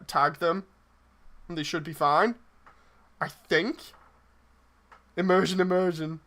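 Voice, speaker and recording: A man's voice: high voice, bright, forceful tone. A man chatting casually on a livestream as he replies to messages, rambling and hesitant, often trailing off mid-thought. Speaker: streamer and project lead casting voice roles.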